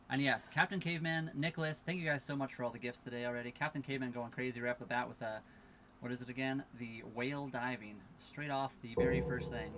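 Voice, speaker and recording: Relaxed young man hosting a boat livestream. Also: mid-range voice, slight background noise. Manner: relaxed, chatty, thanks viewers for follows and gifts. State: tired. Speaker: livestream host. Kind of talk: casual livestream chat, reading viewer questions aloud. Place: sailboat cabin at a marina dock.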